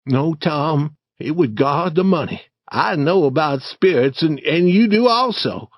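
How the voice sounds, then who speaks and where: A man's voice: a little high, expressive, a little slow, dry recording, muffled recording, voice pushed up. A man reading a story, voicing a nervous, superstitious young boy who hesitates and stammers. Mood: frightened.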